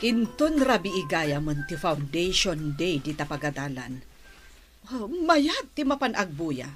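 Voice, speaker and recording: Nagging mother, swooping pitch. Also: sing-song, unhurried, faint music behind. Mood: wistful prodding. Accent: Filipino. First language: Ilocano. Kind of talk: radio drama acting.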